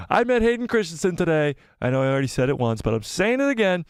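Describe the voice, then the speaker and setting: A young man hosting a live stream, rambling excitedly, laughing often and reading viewer comments, slightly losing his voice. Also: fairly high pitch, normal speed, dry recording, studio quality. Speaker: YouTube channel host.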